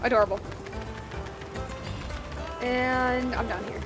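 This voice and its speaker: A woman's voice: fairly high pitch, steady tone, slow. A young woman chatting casually on a live game stream, rambling and gushing in an informal way. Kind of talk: casual live stream chat over gameplay. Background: game music.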